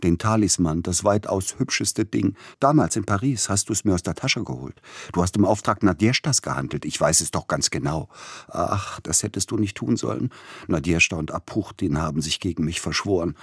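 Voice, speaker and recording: A man's voice: low voice, breathy edge, no echo, studio quality. A man reading the part of a dying composer in a fever, agitated and exclamatory, swinging between accusation and tenderness, in an audiobook.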